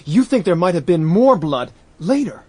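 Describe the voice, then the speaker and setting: A man's voice: sits high, very animated, moderate pace, a little mellow, slightly rough, no echo, exaggerated voice. A man playing a brash tough-talking young man. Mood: tense. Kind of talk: radio drama acting.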